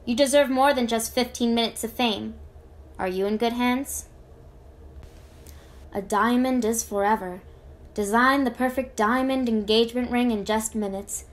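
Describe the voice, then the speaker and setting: A woman's voice: fairly high pitch, unhurried. A woman doing scripted advertising reads as a voice-over artist, with a polished, conversational sales delivery.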